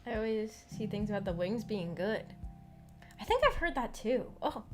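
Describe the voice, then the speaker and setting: An American woman streamer chatting casually in a rambling, halting, giggly way. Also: mid-range voice, big pitch swings. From United States. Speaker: streamer, visual novel book club host. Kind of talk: casual livestream chat. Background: background music.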